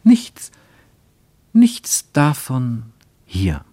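A man narrating a radio-play travelogue in a measured, expressive storytelling manner. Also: mid-range voice, no echo.

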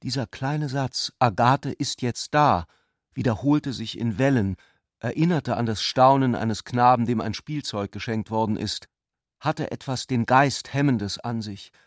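An actor playing a serious, doubting narrator and lover in a literary radio drama, speaking in a measured, deliberate way. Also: male voice, mid-range voice, no room sound, studio quality, close to an everyday voice. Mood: measured, wondering.

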